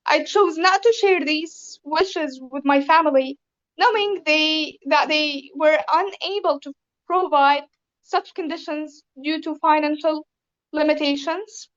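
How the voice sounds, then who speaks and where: A Syrian woman with an Arabic accent, giving careful, formal interview answers with many pauses and ums. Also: high voice, fairly bright tone, lo-fi sound. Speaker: interview guest.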